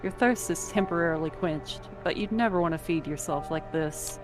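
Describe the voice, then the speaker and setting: A woman narrates an interactive story in the second person, reading from a script. Her voice sits a little low for a woman, and music plays clearly underneath. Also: moderate pace.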